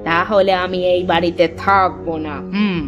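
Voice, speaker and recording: A woman's voice: fairly low pitch, fairly even intonation, normal speed, lo-fi sound, music in the background, natural voice. A woman playing a jealous, stingy young village wife in a fairy-tale cartoon, indignant, sarcastic and sulky. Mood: sulky scorn.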